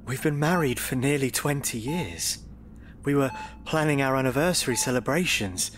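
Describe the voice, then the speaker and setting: A man playing a worried, devoted middle-aged husband in a radio drama, speaking earnestly and quietly subdued. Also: mid-range voice, normal speed, a little hiss or hum, lightly acted. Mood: anxious, subdued.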